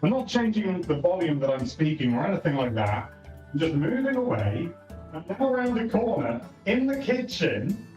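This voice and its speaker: A man's voice: on the high side, big pitch swings, moderate pace, a little mellow. A man chatting casually over a voice call at home, picked up by a console's built-in microphone from across the room; his voice carries and he often corrects himself mid-sentence. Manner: chatty, self-correcting, says "don't get me wrong" often. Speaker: co-host testing a console feature. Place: home, moving between rooms. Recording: distant voice over game chat with music.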